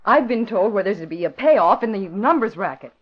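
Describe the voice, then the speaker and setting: Actress as an eager, animated girl reporter. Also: medium pitch, brisk, close to an everyday voice. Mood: eager, confiding. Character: eager, ambitious young female newspaper reporter. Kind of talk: 1940s radio acting. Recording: muffled vintage radio broadcast.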